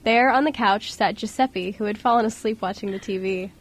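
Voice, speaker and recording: A woman's voice: medium pitch, brisk. A woman reading scripted storybook narration as the narrator of an amateur radio drama.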